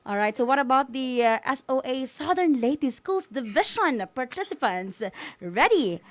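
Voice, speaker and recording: A woman's voice: sits high, animated, moderate pace, no room sound. A woman with a Filipino accent co-hosting a live radio school program in a casual, interactive style.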